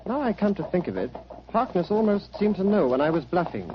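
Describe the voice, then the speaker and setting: A proud young British army captain in distress. His delivery is earnest and clipped, in a fairly high male register. It comes from a dull 1940s radio recording with light noise. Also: very animated, brisk. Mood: anguished, earnest.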